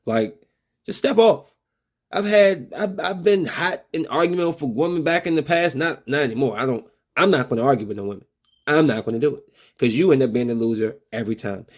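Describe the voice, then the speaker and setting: A man's voice: mid-range voice, brisk. A man hosting a solo opinion podcast, talking in loose slang and acting out street confrontations in a raised voice. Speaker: podcast host.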